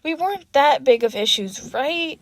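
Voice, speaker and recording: Woman voicing a frazzled, high-pitched young male teacher. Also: expressive. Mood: exasperated ranting. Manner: fast rambling complaint.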